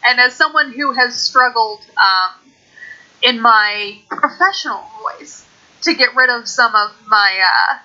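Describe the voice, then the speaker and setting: A woman with a Southern US accent, co-hosting a podcast in casual, playful chat and talking fast in bursts. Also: a little high, lively intonation, pushed and bright, highs cut off. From American South. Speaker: podcast co-host.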